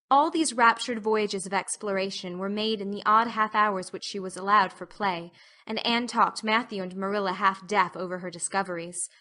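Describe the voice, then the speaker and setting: A woman narrating a literary audiobook as an expressive storyteller. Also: mid-range voice.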